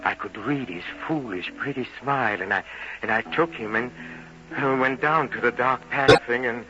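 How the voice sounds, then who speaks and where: An actor playing a vengeful, gloating murderer in an old-time radio horror monologue, with halting, repetitive, theatrical delivery over music on a dull vintage recording. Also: male voice, mid-range voice, bright, forceful tone, lightly acted. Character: vengeful, tormented male murderer, gloating and obsessed.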